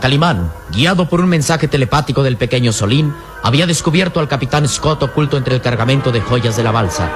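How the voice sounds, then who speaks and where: A man narrating a radio adventure serial in a dramatic, scripted style. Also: mid-range voice. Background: music.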